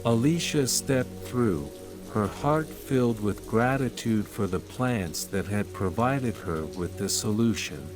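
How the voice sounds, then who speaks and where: A man narrating a scripted bedtime fairytale in a measured, soothing manner. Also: lowish voice. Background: rain and calm music.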